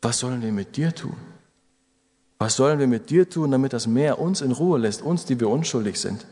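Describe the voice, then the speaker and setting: German preacher in a reverberant hall. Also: male voice, medium pitch, animated, moderate pace, mellow. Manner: earnest exhortation with rising emphasis. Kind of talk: sermon.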